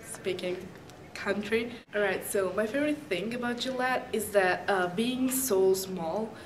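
A woman's voice: mid-range voice, measured pace, echoey room, slight background noise. Teen female exchange student, Italian accent, mid-range voice, casual introduction to camera. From Milan, Italy. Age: teens. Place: indoors by a brick wall.

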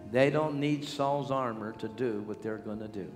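An older man, a healing evangelist, praying and preaching with fervor on a large church stage, at times breaking into shouts and song. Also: medium pitch, normal speed. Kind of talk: revival preaching and prayer. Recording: long hall reverb with music behind.

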